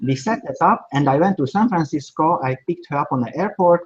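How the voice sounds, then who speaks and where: Male guest, 40s, halting English, dull lo-fi line. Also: on the high side, animated, slightly rough. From Slovakia. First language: Hungarian. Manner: halting, plain English with small grammar slips. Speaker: guest, sourcing expert.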